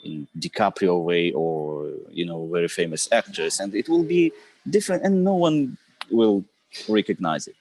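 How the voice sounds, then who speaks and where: A man's voice: low voice, lively intonation, normal speed, a little mellow, no room sound, poor audio. A man with a Ukrainian accent, an actor and writer speaking as a guest in an interview, hesitant with many fillers and restarts. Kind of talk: live interview chat.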